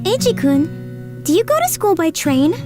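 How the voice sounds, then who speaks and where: A woman voicing a sweet, lonely rich high school girl in a manga dub, gentle and girlish. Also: big pitch swings, measured pace, full character voice. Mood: friendly, concerned. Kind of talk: anime-style manga dub acting. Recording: music behind the voice.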